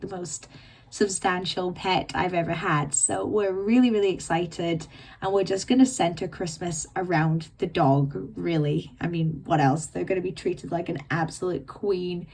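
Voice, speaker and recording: A woman in her late twenties hosting her own podcast, rambling and self-mocking in casual chat, her voice croaky and bunged up from illness. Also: on the low side, darkish tone. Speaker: podcast host. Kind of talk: casual chatty monologue.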